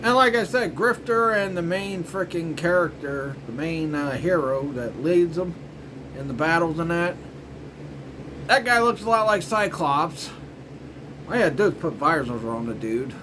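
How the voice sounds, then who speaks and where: A man's voice: fairly high pitch, lively intonation, moderate pace, noisy background. A man casually rambling through a commentary as host of a review show, in informal, loosely strung phrases. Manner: informal, repetitive filler phrases like "you know" and "frickin'".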